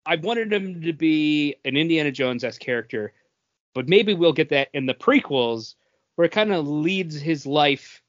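A man's voice: mid-range voice, a bit bright, no room sound, dull audio. A man co-hosting a podcast in casual chat, rambling through his ideas. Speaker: podcast co-host.

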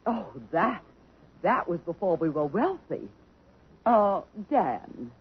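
Bossy social climber mom, middle-aged, husky, very swoopy voice, old radio comedy. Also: female voice, lowish voice, sing-song, takes it slowly, exaggerated voice. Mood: haughty, bossy. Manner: theatrical, bossy. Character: excitable, social-climbing wife and mother, newly rich. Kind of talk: 1950s radio comedy acting. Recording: muffled old radio broadcast.

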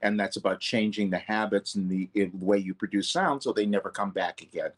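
A man's voice: lowish voice, brisk. A man, a vocal coach, talking earnestly in an interview, often correcting himself mid-sentence. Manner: earnest, loose, self-correcting. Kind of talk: reflective interview talk.

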